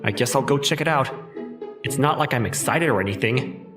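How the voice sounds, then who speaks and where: A man playing an exasperated, awkward teenage schoolboy, telling his story in an expressive, incredulous manga dub style. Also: mid-range voice, fairly quick, natural voice. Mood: wry narrating. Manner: expressive, incredulous, self-talking. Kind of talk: manga dub narration and dialogue. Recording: music behind the voice.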